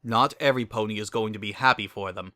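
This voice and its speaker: A man's voice: lowish voice, fast talker, pushed and bright, no room sound, clean studio sound. A man voicing a worried, practical male pony baker with a cautioning, exasperated manner.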